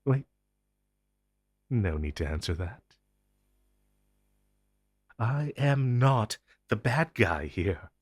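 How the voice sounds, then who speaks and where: A man's voice: on the low side, very animated, drawn-out words, long pauses, no echo, clean studio sound, full character voice. A man playing an ancient, possessive telepathic hive-mind entity in an intense audio roleplay, speaking huskily with theatrical, condescending deliberation. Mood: forceful coaxing.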